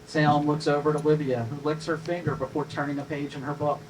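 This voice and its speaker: A man's voice: on the high side, level tone, fast. A man reading scripted stage directions as narrator at a live table read, recorded from a distance with some noise.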